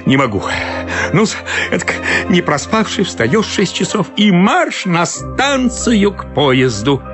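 Radio comedy: a frazzled, self-pitying husband, higher-pitched, wildly varied delivery, music underneath. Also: male voice, sing-song, slow, exaggerated voice. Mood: weary grumbling. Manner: theatrical complaining, sighing, swinging from confiding mutter to frantic outcry. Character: harried, self-pitying henpecked dacha husband, on the edge of madness. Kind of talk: radio play acting of a comic short story.

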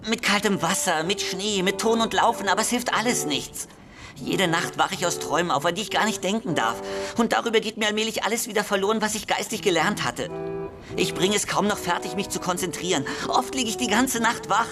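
An actor playing a lonely, agitated schoolboy seeker in a radio drama, pleading urgently and earnestly. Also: male voice, high voice, brisk, over music, close to an everyday voice. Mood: desperate. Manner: urgent, hasty, pleading, confiding. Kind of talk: German literary radio drama.

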